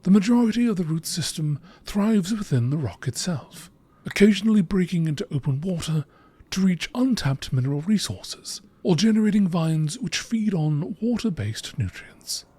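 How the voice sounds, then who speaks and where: A man reading scripted lore in a nature documentary narration style, with measured, deliberate phrasing. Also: sits high, sing-song, warm tone, no echo. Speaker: video creator reading game lore aloud. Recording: clearly noisy background.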